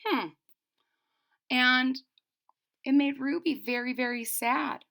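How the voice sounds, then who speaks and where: A woman's voice: high-pitched, fairly even intonation, slow, gravelly, sharp tone. A woman reading a storybook aloud to young children, expressively, with simple explanatory asides. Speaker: storybook reader.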